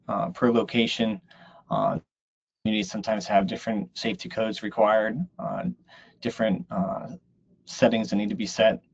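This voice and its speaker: Low, husky-voiced man presenting. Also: a little mellow. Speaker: webinar presenter. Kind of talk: explanatory slide presentation. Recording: dull, lo-fi online audio.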